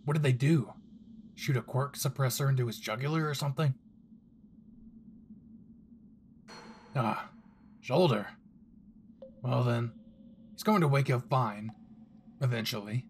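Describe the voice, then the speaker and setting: A man's voice: mid-range voice, normal speed, pauses often, darkish tone, slight background noise, lightly acted. A man playing a brash, possessive young hero, cocky, swearing and intense, in a dramatic audio roleplay spoken to the listener. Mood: cocky, protective. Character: brash, possessive young male hero, gruff and foul-mouthed.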